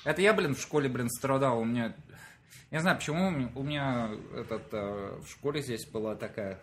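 A man's voice: medium pitch, fairly quick, a bit bright, slight background noise. Hesitant man with a faint Russian accent. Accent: Russian, light. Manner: hesitant, explaining with many fillers. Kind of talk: casual chat.

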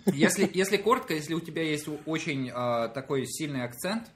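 A man with a light Russian accent, explaining slowly with many fillers and pauses in a casual chat. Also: on the high side, animated, fairly bright tone.

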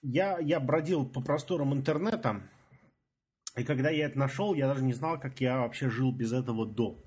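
Husky-voiced man in casual chat. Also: mid-range voice, a little mellow. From Russian-speaking immigrant in America. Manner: laughs easily, halting restarts. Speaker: podcast co-host.

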